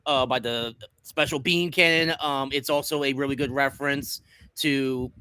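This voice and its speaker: A man's voice: mid-range voice, fairly even intonation, normal speed, bright, forceful tone, clean tone, dry recording. A man co-hosting a casual anime review, rambling with lots of fillers. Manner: rambling, many fillers and repeated words. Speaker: co-host.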